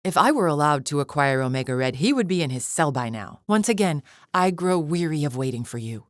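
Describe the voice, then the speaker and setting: A woman's voice: low-pitched, lively intonation, brisk, dry recording, clean studio sound. A woman playing a proud, impatient alien warrior champion in a video game, speaking with formal, imperious scorn. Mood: imperious, irritated. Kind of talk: video game character acting.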